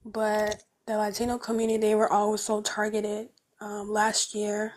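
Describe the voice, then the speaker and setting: Soft-spoken woman with a flat delivery. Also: medium pitch, normal speed. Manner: hesitant, drops to a near whisper. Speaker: panelist. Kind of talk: casual panel discussion.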